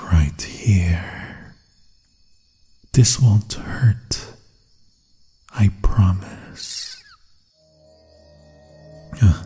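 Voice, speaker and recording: A man voicing a possessive, predatory werewolf in an ASMR fantasy roleplay, speaking in a breathy, often whispered voice with a menacing, coaxing manner. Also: on the low side, dark tone, in a large hall. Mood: pained, alarmed. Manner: slow, hungry, menacing coaxing. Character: predatory, possessive male werewolf hunting its human prey.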